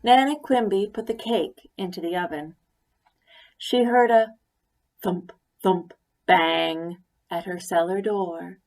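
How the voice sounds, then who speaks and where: Slow-paced woman reading a picture book. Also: fairly low pitch, darkish tone. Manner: deliberate, with pauses for emphasis. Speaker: story reader. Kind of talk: expressive picture-book read-aloud.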